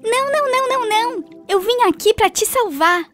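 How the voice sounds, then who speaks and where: A woman voicing a friendly, gentle little baby shark, sweet and childlike, in a children's cartoon. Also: very high voice, lively intonation, brisk, faint music behind. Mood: reassuring.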